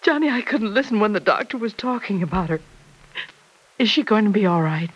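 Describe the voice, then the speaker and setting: An actress playing a distraught, anxious middle-aged mother in an old radio drama, tearful and halting as she pleads. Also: mid-range voice, slightly rough, close to an everyday voice, poor audio. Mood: anxious, pleading. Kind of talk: 1940s-50s radio drama acting.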